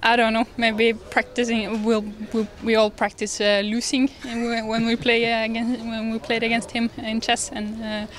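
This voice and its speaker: Young Norwegian woman, fairly high voice. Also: little pitch movement, normal speed, few pauses, a little hiss or hum. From Norway. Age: young adult. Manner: hesitant, good-humoured, laughs easily. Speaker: ski jumper being interviewed. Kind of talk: casual interview answers. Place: large indoor lobby. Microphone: handheld reporter microphone.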